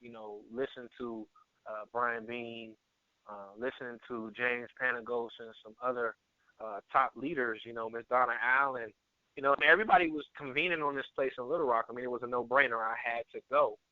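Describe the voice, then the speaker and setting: An earnest man speaking as a guest on a conference call, halting and repeating words as he gives a testimonial over a telephone line. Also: mid-range voice, steady tone, no echo. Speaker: guest, network marketer and area manager. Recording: tinny phone line, narrow band.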